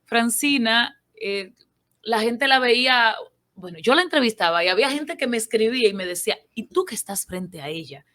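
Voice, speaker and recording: A woman's voice: on the high side, expressive, moderate pace, pushed and bright. Dominican woman co-hosting a radio panel. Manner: conversational, interjects and completes others' phrases. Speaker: radio show co-host.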